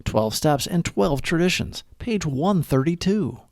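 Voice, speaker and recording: A man hosting a daily recovery reading, delivering scripted reflections with deliberate, emphatic phrasing. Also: fairly high pitch, expressive, darkish tone, no echo, studio quality. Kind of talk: scripted reflective read-along narration.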